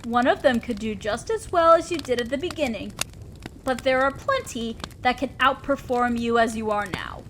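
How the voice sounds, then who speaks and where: A woman plays an imperious demon lord of hell with a distinctly high feminine voice. She is commanding and condescending, an exacting boss in an audio roleplay. Clear background noise and ambience sit behind her. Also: normal speed, fairly bright tone, close to an everyday voice. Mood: stern, threatening. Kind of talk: scripted roleplay audio drama monologue.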